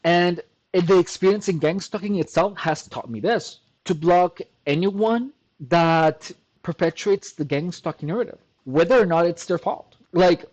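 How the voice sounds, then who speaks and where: A man's voice: high voice, measured pace, a little mellow, lo-fi sound. A man hosting his own channel, talking straight to his viewers in an emphatic, repetitive way with frequent restarts. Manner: emphatic, repeats "look" and restarts often. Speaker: channel host. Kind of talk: casual talking-to-camera monologue.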